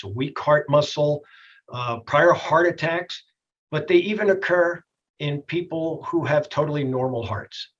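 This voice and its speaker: A middle-aged or older man with a slightly husky voice, a doctor explaining things in a plainspoken, folksy way in a TV health interview. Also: on the high side, a bit bright, lo-fi sound. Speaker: doctor, expert guest.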